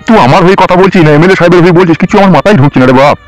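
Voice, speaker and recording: A man's voice: sits high, very fast, no echo, soft background music, close to an everyday voice, rough recording. A man voicing a hapless, resigned husband, crude and complaining, in a comedy cartoon. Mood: resigned, grumbling.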